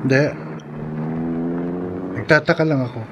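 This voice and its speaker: Greedy, cocky male gambler played by an actor: fairly high pitch, horror radio acting, light background noise. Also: a little slow, dull audio, close to an everyday voice. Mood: puzzled.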